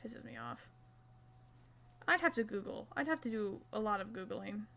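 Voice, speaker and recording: A woman's voice: mid-range voice, lively intonation, moderate pace, creaky, fairly bright tone. A young woman streaming live, chatting casually and self-mockingly while she crochets, swearing now and then.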